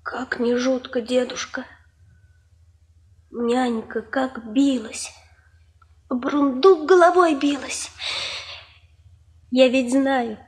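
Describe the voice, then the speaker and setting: A woman's voice: sits high, steady tone, slow, long pauses, darkish tone. An actress playing a frightened, curious little peasant girl in a Soviet radio drama, pleading and naive. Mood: anxious.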